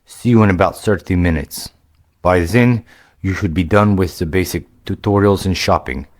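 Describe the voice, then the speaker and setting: A man's voice: low-pitched, normal speed. Cold Crow, a man playing a burly Viking veteran. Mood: helpful. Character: big burly Viking warrior, calm helpful veteran.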